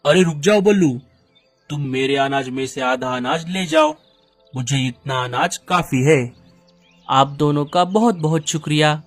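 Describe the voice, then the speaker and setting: A man's voice: on the high side, very animated, measured pace, faint music behind. A man voicing an earnest, helpful talking bird in a cartoon, with emphatic delivery. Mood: friendly, grateful. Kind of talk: cartoon dub acting.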